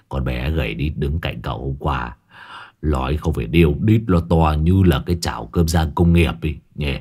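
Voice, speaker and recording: A man doing a gruff crude sidekick. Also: a bass voice, a little slow, darkish tone, pitched below the performer's own voice, full character voice. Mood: leering. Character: lazy gruff young male sidekick, crude and cynical.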